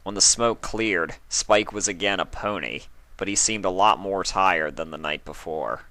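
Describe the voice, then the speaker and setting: Man with a low voice reading a story. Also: a little slow, no room sound. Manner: steady storytelling narration. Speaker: fanfiction reader.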